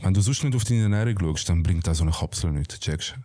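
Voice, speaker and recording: Low, lisping Swiss German man, slangy. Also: a little fast, mellow. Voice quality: slight lisp. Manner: slangy, blunt, informal. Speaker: fitness talk guest. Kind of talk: casual chat.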